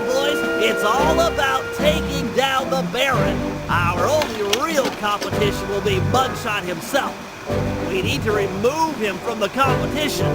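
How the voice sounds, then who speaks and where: A man's voice: very high-pitched, poor audio. A man voicing a nerdy, earnest young gadget genius who briefs in a precise, wordy way for a video game. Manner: precise, formal, lecturing briefing delivery. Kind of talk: animated video game cutscene dialogue. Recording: loud music under the voice.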